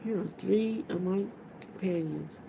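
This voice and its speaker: A woman voicing a calm, authoritative male wizard in a storybook, measured and formal. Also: mid-range voice, vocal fry, slight background noise, low-quality recording.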